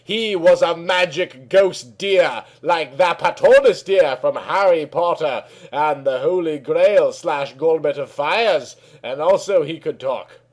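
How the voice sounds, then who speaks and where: A man with a very high voice narrates a storybook tale. The delivery is slow and naive, with childlike phrasing and mangled words.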